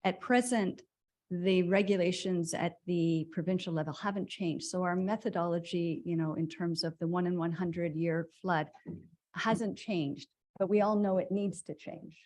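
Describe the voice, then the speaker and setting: Woman, lower register, measured staff explainer at a public meeting. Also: a little mellow. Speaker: conservation authority staff.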